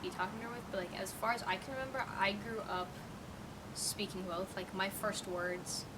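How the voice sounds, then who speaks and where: A woman's voice: medium pitch, steady tone, moderate pace. A young American woman answering interview questions casually, rambling with lots of "like" fillers. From United States. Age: young adult. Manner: rambling, heavy use of "like" fillers. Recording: distant mic with loud traffic noise.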